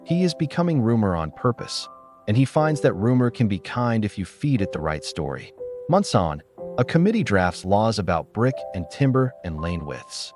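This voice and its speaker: A man reading a literary story in a measured, solemn manner, as a scripted narrator. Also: on the low side, big pitch swings, darkish tone, soft background music.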